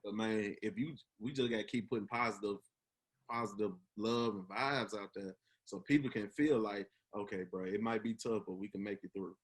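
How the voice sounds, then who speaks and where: A man's voice: lowish voice, fairly even intonation, normal speed, breathy edge. Earnest young Memphis man chatting. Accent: Memphis Southern US. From Memphis, United States. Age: 20s. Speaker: podcast host. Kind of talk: casual reminiscing chat.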